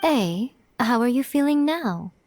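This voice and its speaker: A woman's voice: a little high, lively intonation, unhurried, darkish tone, slight background noise. A woman voicing a playful, wise female priestess in a game, with an elegant, teasing and amused manner. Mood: warm. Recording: game audio with faint music behind.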